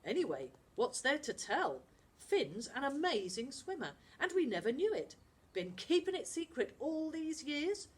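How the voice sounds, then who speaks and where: Woman playing bossy boy skipper, high and loud. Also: sing-song, a little slow. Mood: dismissive.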